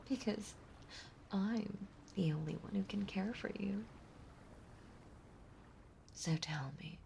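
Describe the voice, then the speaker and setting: A woman playing a possessive, lovesick mermaid monster girl in an intimate audio roleplay, breathy and often whispering, with a doting, clingy delivery. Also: mid-range voice, a little mellow, a little hiss or hum. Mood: needy, menacing. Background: ocean ambience.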